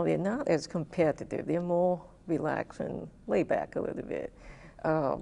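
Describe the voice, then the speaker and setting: Very gravelly low-voiced woman. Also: warm tone. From Malaysia. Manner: hesitant, many fillers. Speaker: economics professor, guest. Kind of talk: interview answers.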